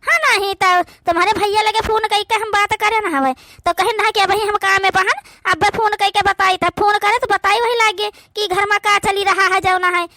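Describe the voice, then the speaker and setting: A high, dry voice with an Awadhi accent carries this young village housewife acted by a woman. She is a wary, indignant cartoon sister-in-law, complaining bluntly and plainly. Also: fairly even intonation, normal speed, hardly any pauses, pushed and bright, no echo. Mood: aggrieved.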